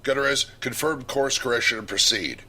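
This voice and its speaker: A man's voice: medium pitch, fairly quick, pushed and bright, husky. A man playing a commanding starship captain giving firm orders in a sci-fi audio drama.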